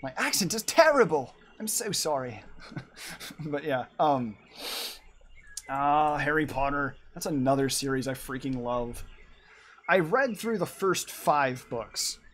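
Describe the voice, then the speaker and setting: A man's voice: fairly high pitch, expressive, slow pace, fairly bright tone, slight background noise. A young man in his twenties chatting and rambling on a live art stream as he draws, laughing often. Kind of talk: casual chat while drawing.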